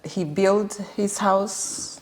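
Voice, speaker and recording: A woman guest recounting her own life story in an interview, measured and pausing, with frequent fillers. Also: medium pitch, poor audio. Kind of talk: personal life story in conversation.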